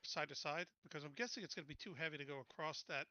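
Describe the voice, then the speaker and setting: A man playing a tabletop roleplaying game, talking casually and haltingly as he gropes for words. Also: on the high side, sharp tone, no room sound.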